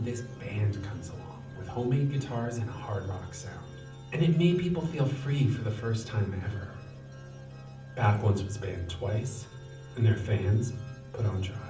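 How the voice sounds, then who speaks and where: Low-voiced guy telling a story in a documentary clip, music loud behind, echoey. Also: recorded from a distance. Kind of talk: recorded documentary speech. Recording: video played into an echoey hall, heard from afar.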